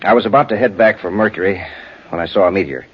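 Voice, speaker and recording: An actor playing a troubled, respectful space patrol lieutenant, formal and hesitant, in a 1950s radio drama. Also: male voice, low voice, fast, breathy edge. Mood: troubled, puzzled. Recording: dull old radio recording.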